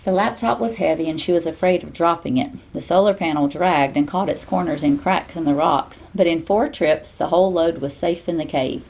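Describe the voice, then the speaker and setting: A woman reading a children's storybook aloud in an expressive, teacherly way. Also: lowish voice, normal speed, gravelly, few pauses, poor audio.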